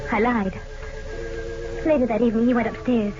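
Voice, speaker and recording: An actress playing an anxious, secretive, jealous wife in a 1940s radio mystery, speaking haltingly. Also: female voice, on the high side, steady tone, music in the background, close to an everyday voice. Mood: brooding, regretful. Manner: breathy hesitations, halting repeated words. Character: anxious, secretive, jealous wife narrating her guilty past. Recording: noisy, dull old radio recording.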